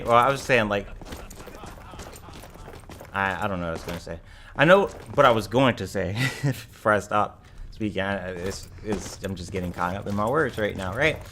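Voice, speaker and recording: A man with a slightly raspy voice giving casual live game commentary as a streamer, rambling and correcting himself as he thinks aloud. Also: fairly low pitch, bright, forceful tone, a little hiss or hum.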